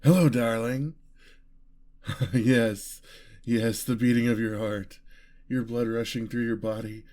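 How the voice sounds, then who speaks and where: Man acting a slow, menacing bloodthirsty vampire. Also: mid-range voice, steady tone, darkish tone, close to an everyday voice. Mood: hungry, seductive. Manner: slow, savouring, breathy menace. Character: bloodthirsty male vampire, menacing, seductive, theatrical. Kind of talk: ASMR fantasy roleplay.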